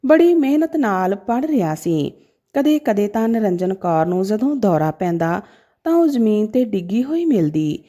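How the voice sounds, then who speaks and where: A woman's voice: mid-range voice, expressive, normal speed, mellow. A woman narrating a scripted story in a dramatic, emotive manner.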